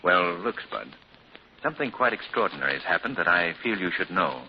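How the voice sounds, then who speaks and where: A suave, assured gentleman detective with a lively, rising and falling delivery. Classic 1940s radio drama acting. The sound is muffled old broadcast with a light hiss. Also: low voice, a little fast.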